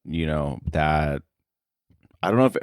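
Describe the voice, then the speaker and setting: Deep-voiced man, halting casual talk. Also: a little mellow, clear, resonant tone, no echo, studio quality. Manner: halting, hedging, thinking aloud. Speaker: podcast guest, sound editor. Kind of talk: casual interview chat.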